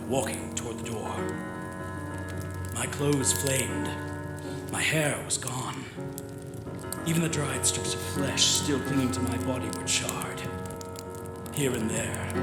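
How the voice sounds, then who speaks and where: Man acting a slow, grim undead corpse. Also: medium pitch, lightly acted. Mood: grim, numb. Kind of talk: horror radio play monologue. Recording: echoey live theatre, music behind.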